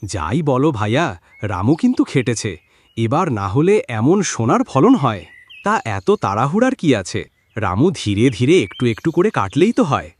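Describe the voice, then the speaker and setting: Husky village neighbour, easygoing farmer, lively cartoon delivery. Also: male voice, mid-range voice, normal speed. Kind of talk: cartoon moral-story voice acting.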